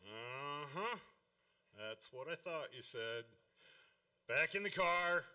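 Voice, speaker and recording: A high, bright male voice with big swoops and unhurried delivery, a little off-mic over faint music. An actor plays a blustery radio-play father, easily exasperated. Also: lively intonation, heavily acted. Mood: impatient, stern. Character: gruff, excitable, exasperated family father. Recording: distant microphone, music behind.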